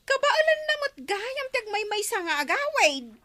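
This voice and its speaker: Woman acting a nosy high-voiced neighbour. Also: sing-song, moderate pace, sharp tone, no room sound, heavily acted. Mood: nosy, questioning. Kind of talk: comic audio drama acting.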